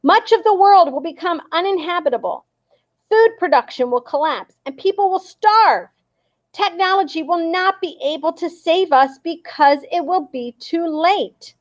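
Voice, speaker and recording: Storybook campaigner dad, loud speechmaker, read by a woman, pitched up. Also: animated, moderate pace. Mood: impassioned. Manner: loud speech-making delivery. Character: excited shouting male environmental campaigner.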